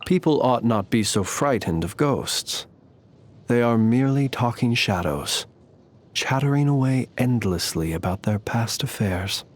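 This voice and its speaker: A courtly fae lantern keeper of the night, speaks in a medium-pitched male voice. He is calm, wry and very formal, shaping eloquent lines with measured, theatrical care, in the manner of a video game character. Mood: musing, ominous.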